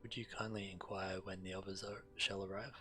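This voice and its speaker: Deep, bright-voiced man reading aloud. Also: level tone, fairly quick. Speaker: game streamer. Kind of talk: reading quest text aloud while playing. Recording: game music behind the voice. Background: video game music.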